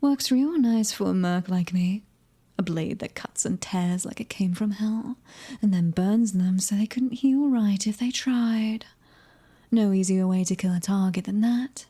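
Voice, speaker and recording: A cocky British mercenary woman, played by a female ASMR performer, with a dark, husky voice. She taunts at an unhurried pace in an intimate roleplay manner, often sinking to a breathy near-whisper. Her temper is fiery and prickly. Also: mid-range voice. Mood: smug. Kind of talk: ASMR audio roleplay acting.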